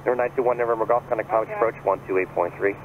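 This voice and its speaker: Casting: male air traffic controller, flat and quick, heard over a noisy aviation radio. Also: lowish voice. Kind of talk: clipped radio instructions. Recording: dull radio with clear aircraft noise.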